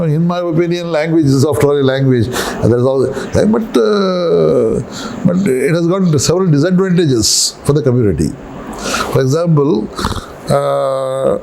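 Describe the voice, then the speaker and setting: A man, an Urdu speaker, answering interview questions in a measured, explanatory way and often repeating key phrases. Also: fairly high pitch, darkish tone, a little hiss or hum, rough recording. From Tamil Nadu, India. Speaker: interview guest, local historian.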